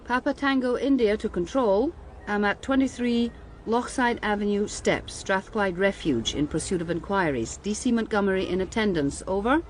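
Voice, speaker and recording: This is an actress as an efficient policewoman in a radio drama. Her voice is mid-pitched, with clear vehicle noise behind it. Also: normal speed, lightly acted. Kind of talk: radio crime drama acting.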